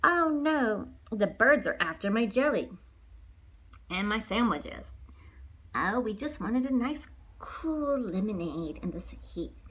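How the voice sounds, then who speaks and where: A woman's voice: medium pitch, sing-song, slow pace, fairly bright tone, husky, heavily acted. A woman voicing a polite, naive young storybook bear who is earnest and full of wonder.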